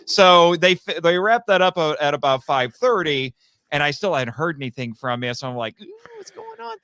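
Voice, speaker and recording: A man's voice: a little high, huge pitch swings, moderate pace, bright, forceful tone, clear, resonant tone, dry recording. A man talking casually as a guest on a fan podcast, telling stories and acting out quoted remarks.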